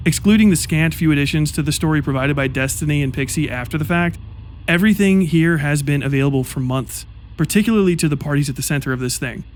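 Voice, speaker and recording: A man giving scripted commentary narration, walking through a timeline in a measured, explaining manner. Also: on the high side, slight background noise.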